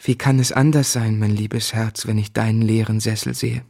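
An actor playing a devoted young husband who narrates a literary radio drama in a measured, subdued, reflective manner. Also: male voice, on the low side, steady tone, vocal fry, a little mellow, dry recording, clean studio sound. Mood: gentle sorrow. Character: grave, devoted young husband recalling his life.

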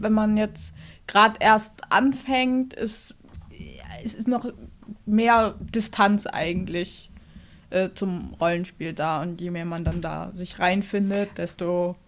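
A woman co-hosting a casual podcast chat, measured, with hesitant starts. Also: mid-range voice. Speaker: podcast co-host.

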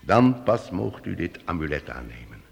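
Give the minstrel master a low, creaky voice in a long, reverberant hall. He is a wily, courteous trickster in a radio play, speaking with solemn deference. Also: sing-song, normal speed, exaggerated voice. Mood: solemn warning. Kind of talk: old radio play acting for youth audience.

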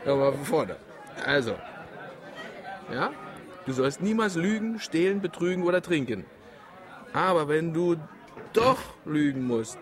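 An actor playing a gruff, troubled middle-aged father and boatman who likes his whisky, in a radio drama. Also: a little high. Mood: boisterous toast.

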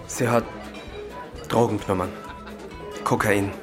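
An actor playing a bereaved, bitter husband, halting and intense, in a radio crime drama. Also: male voice, on the low side, steady tone, over music, natural voice. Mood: grieving.

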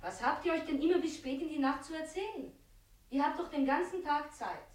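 An exasperated mother in a German radio drama. Her voice is high and nagging, full of brisk commands. There is faint noise on the recording. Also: animated. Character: exasperated, scolding mother of two grown children. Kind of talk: German radio play acting, 1970s.

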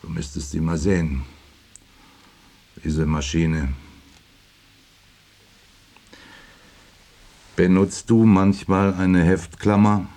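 An actor in his forties playing a bitter, suspicious factory worker husband in a radio play, with a husky voice and a needling manner. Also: low voice, fairly even intonation, takes it slowly, mellow. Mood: brooding, low. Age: 40s. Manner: needling, brooding, rhetorical questions. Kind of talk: German radio play acting.

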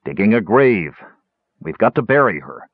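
A man's voice: on the low side, big pitch swings, moderate pace, dry recording, phone-quality sound. A man voicing a big, grief-stricken male warrior who speaks bluntly and heavily. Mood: grieving.

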